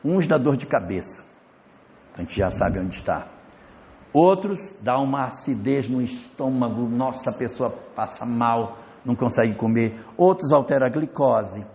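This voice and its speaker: A man giving a spiritist lecture in a lecture hall, telling stories in a lively way and acting out the dialogue. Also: mid-range voice, unhurried, slight background noise. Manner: storytelling, lively, acts out dialogue. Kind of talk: religious lecture. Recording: reverberant hall.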